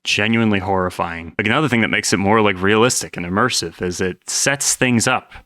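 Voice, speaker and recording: A man's voice: lowish voice, fairly quick, sharp tone, no echo, clean studio sound. A man hosting a reaction video, talking casually in a playful, joking, mock-scolding manner with some swearing. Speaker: host reacting to horror videos.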